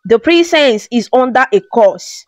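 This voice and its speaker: A woman voicing a solemn male chief priest who speaks in grave pronouncements, in a slow, lively-pitched storybook telling. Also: no room sound, faint music behind.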